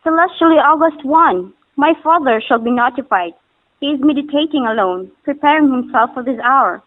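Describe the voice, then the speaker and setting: A woman acts a proud, innocent singing girl devoted to her father. Her voice is high and swings widely in pitch, earnest and poetic. The recording is thin, like a phone line. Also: expressive, normal speed. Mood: respectful. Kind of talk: amateur stage-play dialogue, formal poetic English. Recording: muffled, phone-like narrowband sound.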